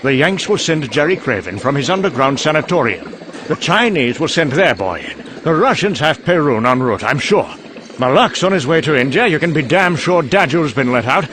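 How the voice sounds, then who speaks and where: A man playing a mad, vain, rambling scientist in a motion-comic drama, excitable and pleased with himself. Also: fairly high pitch, a little hiss or hum. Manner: rambling, excitable, self-congratulating. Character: mad, vain, rambling British male scientist narrating doom.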